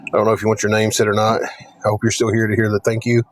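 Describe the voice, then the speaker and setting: A man with a Southern accent and a raspy voice, chatting casually on a live stream from his phone, rambling and repeating himself. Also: fairly low pitch, monotone, fast talker. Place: parked car, then walking outdoors.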